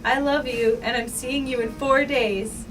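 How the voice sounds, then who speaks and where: A woman's voice: sits high, steady tone, unhurried. A woman reading a child's letter aloud. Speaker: staff member reading aloud. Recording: distant mic with guitar music. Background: guitar music.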